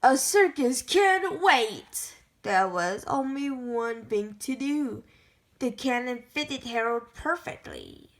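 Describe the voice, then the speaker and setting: A woman reading a picture book aloud in a careful, halting way, often stopping to correct herself. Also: a little high, lively intonation. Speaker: storybook reader.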